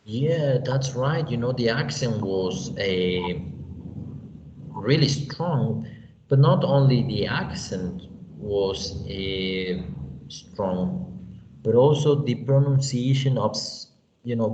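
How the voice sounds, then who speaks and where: A man with a Mexican accent teaching an online English class, unhurried and often checking in with "you know" and "okay?". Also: medium pitch. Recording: echoey, roomy, dull lo-fi sound with faint hiss.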